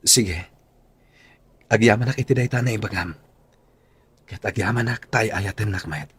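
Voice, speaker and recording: An actor playing an earnest, tender young man in an Ilocano radio drama, speaking sincerely and deliberately with an Ilocano accent. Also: lowish voice, fairly bright tone, slightly rough. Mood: grateful, loving.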